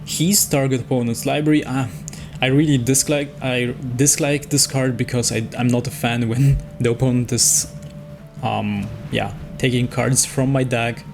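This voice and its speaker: A man's voice: medium pitch, fairly even intonation, normal speed, creaky. A man giving casual gameplay commentary on a card game, thinking aloud as he weighs his moves a little hesitantly. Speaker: host playing and commenting on a digital card game. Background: music.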